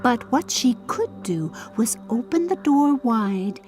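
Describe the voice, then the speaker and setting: A woman narrating a fairy-tale audiobook in a measured, expressive storytelling style. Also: fairly high pitch. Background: music.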